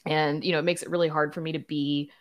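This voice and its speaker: A woman with a low-pitched voice talks as a guest in a casual conversation. She is frank and self-deprecating about herself, and peppers her sentences with "you know.". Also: level tone, fast. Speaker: podcast guest, writer and producer.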